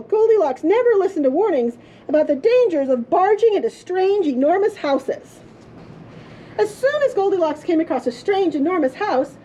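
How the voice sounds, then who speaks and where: A woman storyteller reading a children's story aloud in a playful, theatrical way with drawn-out emphasis. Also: very high voice, dark tone, a little hiss or hum, rough recording. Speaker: librarian storyteller. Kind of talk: expressive children's storybook reading for a puppet show.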